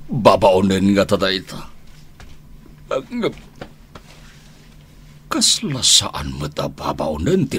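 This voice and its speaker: Slow, deep-voiced older gang boss. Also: male voice, pushed and bright, slightly rough, exaggerated voice. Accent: Ilocano. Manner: drawn-out, with hesitant ums and ahs. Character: older male hideout boss, a father, slow and sly. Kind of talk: Ilocano radio drama acting.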